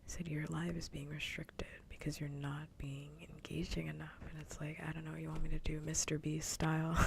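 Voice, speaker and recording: Whispering, low creaky woman doing ASMR. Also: completely flat delivery, normal speed, slight background noise. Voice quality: soft, breathy whisper. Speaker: livestreamer and ASMR host. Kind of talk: whispered ASMR livestream chat.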